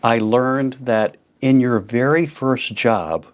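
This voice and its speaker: A man's voice: medium pitch, takes it slowly. Friendly man hosting a podcast interview. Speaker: podcast host.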